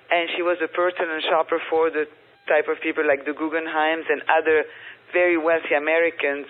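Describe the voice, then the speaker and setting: A middle-aged woman with a French accent, a guest telling her story in a meandering, thoughtful way. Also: low-pitched. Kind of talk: casual interview. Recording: tinny phone-line audio.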